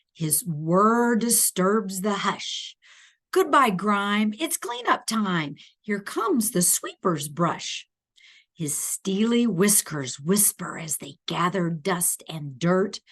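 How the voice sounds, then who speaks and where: A woman reads a picture book aloud for small children at an unhurried pace. Her pitch swings up and down in a lively way as she leans on key words. She adds playful asides to the child as she goes. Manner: animated, deliberate emphasis with playful asides to the child. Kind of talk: expressive read-aloud for young children.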